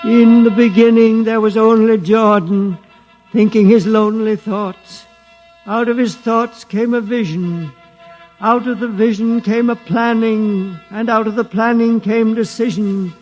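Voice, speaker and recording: An actor playing a solemn old keeper of sacred legend, reciting slowly like scripture in a vintage radio drama. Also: male voice, high-pitched, fairly even intonation, a little mellow, dull audio, soft background music. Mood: solemn, reverent. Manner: slow, incantatory, scripture-like recitation. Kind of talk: 1950s radio drama acting.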